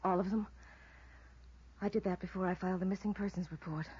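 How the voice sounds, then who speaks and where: An American actress playing the anxious, guarded wife of a missing man in a 1950s radio drama, husky and halting. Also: mid-range voice, fairly even intonation, dark tone. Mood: worried, halting. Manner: hesitant, halting, subdued. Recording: muffled vintage radio recording.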